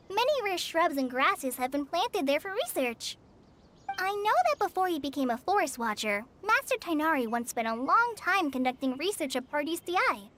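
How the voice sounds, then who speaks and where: Gentle, high-voiced young ranger girl. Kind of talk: video game voice acting. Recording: game music under the voice.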